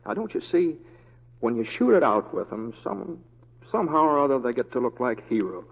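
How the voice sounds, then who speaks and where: An actor playing a mild, unflappable young deputy in a radio Western, speaking in a folksy, hesitant drawl. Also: male voice, medium pitch, very animated, moderate pace, slightly rough. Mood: earnest. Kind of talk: 1930s-40s radio acting. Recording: muffled old radio broadcast.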